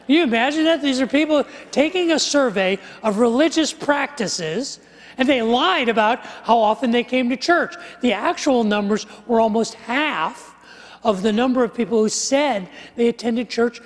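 An older man preaching a sermon, walking through statistics with animated gestures and wry asides, on a headset mic on a large church stage. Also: very high voice, moderate pace. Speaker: pastor. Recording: live sermon with long hall reverb.

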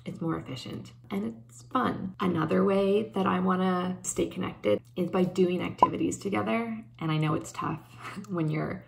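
Young canadian woman vlogging to her webcam, earnest and upbeat, kinda low, lots of vocal fry. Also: moderate pace, a little hiss or hum, poor audio. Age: young adult. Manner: gesturing with her hands while talking. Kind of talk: casual talk to camera. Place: bedroom indoors.

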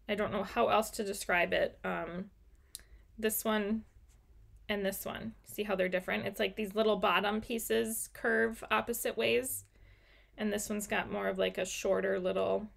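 Young woman, craft teacher, chatty step-by-step delivery, slow pace, slight vocal fry. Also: on the high side. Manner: chatty, talks viewers through each step. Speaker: card-making class teacher. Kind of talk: live crafting class demonstration. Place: indoor craft desk. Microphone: overhead camera on desk, mic off screen.

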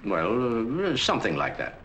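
A wise elderly doctor in a 1940s drama. He advises in a measured, emphatic way, his pitch moving lively up and down. Faint hiss runs under the old soundtrack. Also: exaggerated voice. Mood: advising. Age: older. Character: elderly wise clinic doctor, kindly, lecturing.

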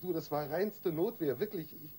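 An actor playing a guilt-ridden, weak-willed husband in a radio crime drama, halting and defensive. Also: male voice, on the high side, mellow, breathy edge. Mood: agitated, anxious.

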